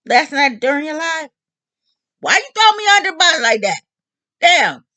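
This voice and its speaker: Bright-voiced woman in her fifties. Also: high-pitched, normal speed, no room sound. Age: 50s. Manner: animated, candid, joking. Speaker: vlog host. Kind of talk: casual monologue.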